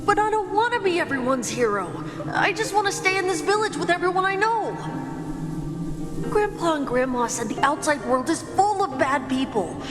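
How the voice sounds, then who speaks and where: A stubborn little village boy with a high voice that leaps up and down in a game cutscene. He talks in a childlike, pleading way. A long reverb trails each line under loud music. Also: expressive, moderate pace.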